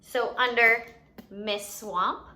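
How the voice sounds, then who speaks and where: A woman's voice: on the high side, steady tone, very slow, pushed and bright. A woman hosting a children's educational activity, playfully giving instructions and asking questions as if to young kids. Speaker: children's story time host and teacher.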